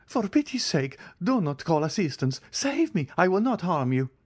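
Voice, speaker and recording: A frantic young fugitive begging to be spared, as a male narrator puts him on, his voice thrown far up in pitch. He swings between breathless pleading and sneering insolence. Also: lively intonation, normal speed, a little mellow. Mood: pleading desperately. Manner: desperate pleading, later mocking.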